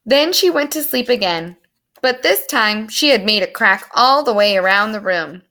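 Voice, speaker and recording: Bright, lively woman reading a children's story. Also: mid-range voice, normal speed. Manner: animated, explains words to young listeners. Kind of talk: expressive read-aloud storytelling for young children.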